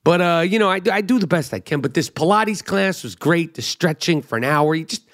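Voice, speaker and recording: A man's voice: a little high, lively intonation, a little fast, a bit bright. A man hosting a podcast, rambling through a profane, self-mocking comedic monologue. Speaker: podcast host.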